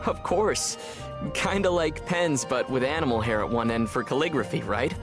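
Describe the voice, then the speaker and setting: Low-voiced, calm young male traveler. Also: moderate pace, over music. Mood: matter-of-fact. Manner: dry, understated teasing. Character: young male traveler hero, calm, dry, gently teasing. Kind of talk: video game voice acting.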